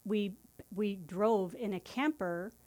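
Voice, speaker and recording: A woman's voice: mid-range voice, mellow. Friendly Californian-born woman lawyer guest. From Northern California, US. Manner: friendly, chatty, halting restarts. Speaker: family law attorney, guest. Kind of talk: casual interview chat.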